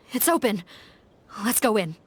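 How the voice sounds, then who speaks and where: A woman's voice: fairly high pitch, very animated, slow pace, noisy background. A woman playing an earnest, dutiful female police officer in a video game. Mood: resolute.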